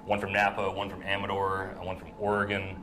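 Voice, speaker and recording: Very deep-voiced man, easygoing. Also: completely flat delivery, pushed and bright, a little hiss or hum. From southern United States. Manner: easygoing, halting, many "uh"s. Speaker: winemaker guest. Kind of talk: casual interview answers. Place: indoor room with air conditioning.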